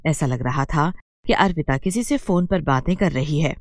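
A woman reading scripted narration for an animated moral story. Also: sits low, normal speed, dry recording, studio quality.

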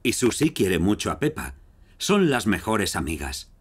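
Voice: sits low, very animated, a little slow, slightly rough. A narrator telling a scripted children's cartoon story with a Castilian Spanish accent.

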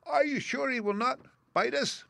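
Male narrator as tiny female mouse monarch, very high, squeaky, regal, timid, exclamatory, audiobook character. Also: moderate pace, fairly bright tone, dry recording.

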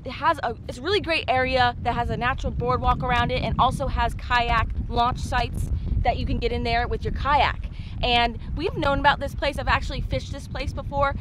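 A woman's voice: on the high side, noisy background. A young woman vlogging from a small boat outdoors, chatting to viewers as she explains. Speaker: fishing vlogger. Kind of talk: casual fishing vlog.

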